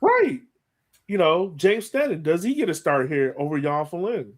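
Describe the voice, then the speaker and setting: A man's voice: fairly high pitch, very animated, measured pace. A man co-hosting a sports podcast, talking casually and thinking aloud as he gives his opinions on soccer. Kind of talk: casual soccer analysis chat.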